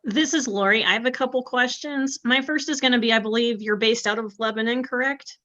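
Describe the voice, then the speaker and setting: A woman's voice: a little high, a little fast, pushed and bright. A woman on a school board, politely and directly asking a contractor questions. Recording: slightly dull lo-fi audio.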